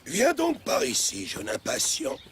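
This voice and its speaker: Slow, swooping old village storyteller. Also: male voice, a little high, creaky, exaggerated voice. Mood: dramatic storytelling. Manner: theatrical, expressive storytelling. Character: kindly old male village storyteller, patient and theatrical. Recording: music behind the voice. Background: music.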